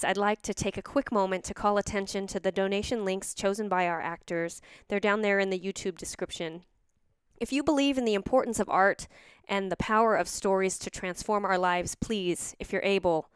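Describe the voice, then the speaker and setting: A woman's voice: mid-range voice, clear, resonant tone, no echo, studio quality. A woman hosting a show of radio plays, giving scripted introductions and announcements. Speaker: host announcing radio-play performances. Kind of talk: scripted presentation. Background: loud music.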